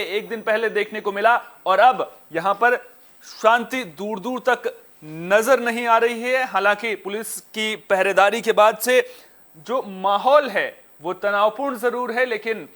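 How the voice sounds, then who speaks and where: A male news anchor with a strikingly high voice delivers headlines live. He is emphatic, restating his points and phrasing them rhetorically as he moves through the bulletin. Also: fairly bright tone. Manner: emphatic, repetitive, rhetorical questions.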